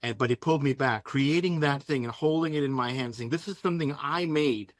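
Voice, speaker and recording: A man's voice: medium pitch, a little fast, dry recording, studio quality. A man from Denmark, a native Danish speaker, talking casually in English as an author being interviewed. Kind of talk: casual conversation.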